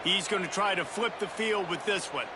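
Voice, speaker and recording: High-pitched American play-by-play football announcer played by a man. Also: normal speed, very noisy, natural voice. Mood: focused. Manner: crisp phrasing that rises with the action. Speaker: play-by-play sports commentator. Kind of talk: football video game play-by-play commentary.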